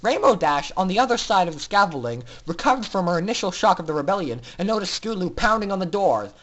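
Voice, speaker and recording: High-voiced man, dramatic story narrator. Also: sing-song, fast, a bit bright, slight background noise. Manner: theatrical, intense. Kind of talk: dramatic fan fiction narration.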